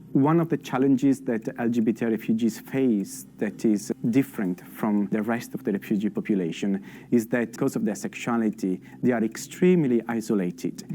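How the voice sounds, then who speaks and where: A man narrates a documentary in a measured, explanatory voice-over. His voice is somewhat low and mellow. A soft music bed runs faintly underneath.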